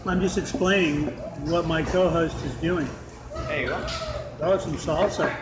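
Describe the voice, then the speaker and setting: Nasal man, rambling podcast host, slightly high voice, muffled audio, restaurant noise behind. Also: measured pace. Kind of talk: casual chat at a restaurant table. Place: restaurant with a fan. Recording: muffled sound with clear background noise.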